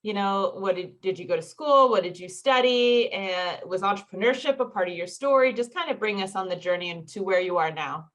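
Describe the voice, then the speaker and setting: A woman's voice: medium pitch, a little fast, few pauses, a bit bright. A woman hosting a podcast interview, bubbly and enthusiastic with her guest. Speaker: podcast host.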